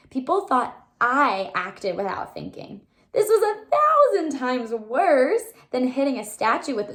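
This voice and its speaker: Female read-aloud teacher, voice a little high, animated storyteller for young listeners, explains as she goes. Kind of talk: expressive children's book read-aloud.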